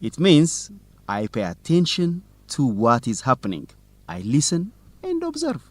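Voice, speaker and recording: A curious, confident young male student in an educational radio drama. His voice sits slightly high and swings freely in pitch. He is teasing and inquisitive, in a dry studio sound. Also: huge pitch swings, unhurried, darkish tone, no room sound, full character voice. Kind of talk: educational radio drama dialogue, simple clear English.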